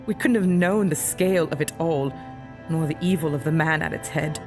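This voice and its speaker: A woman's voice: low voice, normal speed, darkish tone, soft background music. An actress playing a guarded, anguished mother in prison, speaking with earnest urgency in an audio drama. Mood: grave, urgent. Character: guarded, anguished imprisoned mother hiding evidence.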